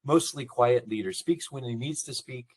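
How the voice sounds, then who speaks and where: A man's voice: mid-range voice, moderate pace, breathy edge. A man hosting a podcast, talking casually and eagerly, open about being boastful. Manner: enthusiastic, self-deprecating promoter. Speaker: podcast host.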